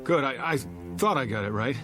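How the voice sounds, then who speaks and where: A man's voice: medium pitch, expressive, brisk, over music, natural voice. An American actor playing a desperate, agitated fugitive in a television drama, stammering and insisting urgently that he is sane. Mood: anxious, hopeful. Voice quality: stammering, breaking phrases.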